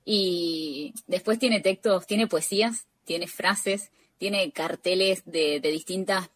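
A woman with an Argentine accent talking casually and reflectively as an interview guest, often halting and repeating words. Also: lowish voice.

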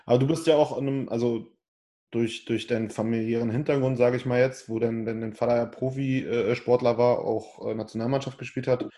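A man's voice: mid-range voice, fairly even intonation, fairly quick, dark tone. A man hosting a casual interview, asking loose, chatty questions. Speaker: podcast host.

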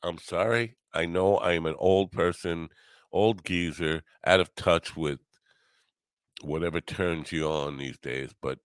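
A man of sixty-three hosting a podcast alone, talking in a casual, halting, meandering way with some swearing and self-deprecation. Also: a bass voice, dry recording, studio quality. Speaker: podcast host. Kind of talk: casual rambling solo monologue.

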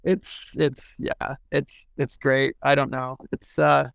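A man in a casual podcast discussion as an editor, talking in a halting, rambling way and repeating words as he forms thoughts. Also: medium pitch, level tone, vocal fry, fairly bright tone, clear, resonant tone, no echo. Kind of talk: casual discussion with a short read-out.

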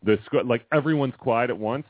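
A young man with a booming, bassy voice, co-hosting a casual sports radio chat and rambling through his points with many restarts. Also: a little fast, no room sound. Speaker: radio co-host and girls basketball coach. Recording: dry phone-line radio sound.

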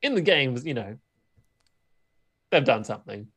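A man's voice: medium pitch, big pitch swings, a little slow, a bit bright, breathy edge. A man co-hosting a casual movie review chat, conversational and often checking in with rhetorical questions. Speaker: podcast co-host.

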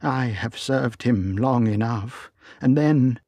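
A man's voice: mid-range voice, slow pace, a little mellow, slightly rough, dry recording, voice pushed up. A man voicing a loyal, frightened old male butler, pleading and earnest in a storybook reading.

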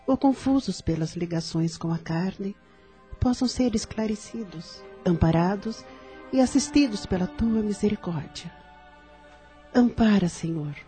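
An actress playing a devout, gentle woman leading a solemn, reverent prayer in a radio drama. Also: on the low side, lightly acted.

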